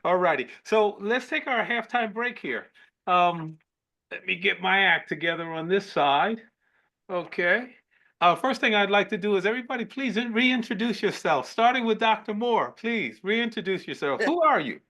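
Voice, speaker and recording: Upbeat, bright-voiced male host. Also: high voice, animated, moderate pace. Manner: upbeat, jokey, often repeats words. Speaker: webinar host. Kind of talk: casual panel moderating. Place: online webinar call.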